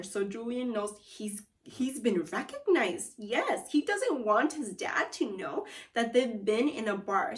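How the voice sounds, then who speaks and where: Woman teacher reading aloud, slightly distant mic. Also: a little high. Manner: encouraging, prompts listeners with questions. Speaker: elementary school teacher. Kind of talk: children's read-aloud with comprehension questions.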